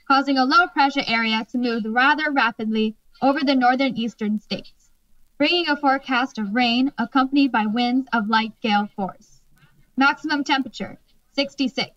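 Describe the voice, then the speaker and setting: A woman playing a formal, measured 1930s radio network announcer in a radio play. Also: high-pitched, fairly bright tone, muffled recording.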